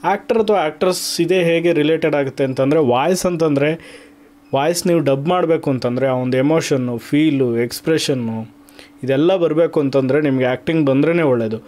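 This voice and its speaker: A man's voice: fairly high pitch, a little fast, a little mellow. A man hosting an explanatory vlog, mixing in English terms and listing things emphatically. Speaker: YouTube channel host. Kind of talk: explanatory vlog talk to viewers.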